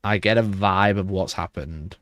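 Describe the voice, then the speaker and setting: A man with a rough, hoarse voice, chatting casually on a livestream and rambling with frequent restarts. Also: deep voice, moderate pace, a bit bright, dry recording. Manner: rambling, restarts mid-sentence. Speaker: livestream host.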